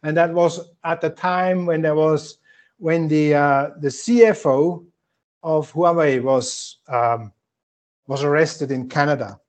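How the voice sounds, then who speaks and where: A man answers interview questions in English, pausing often with fillers and restarts. His voice sits a little higher than most men's. He speaks in a measured, careful way. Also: fairly even intonation. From German-speaking country. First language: German. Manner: hesitant, many fillers, measured. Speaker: guest.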